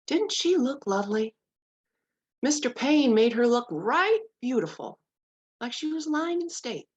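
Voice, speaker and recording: A folksy, tender-hearted aunt played by a woman in a script read, on slightly muffled sound. Her pitch is fairly high and moves freely as she speaks in a plain, motherly way. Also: big pitch swings, a little slow, slightly rough, heavily acted. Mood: fond. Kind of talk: live dramatic script read.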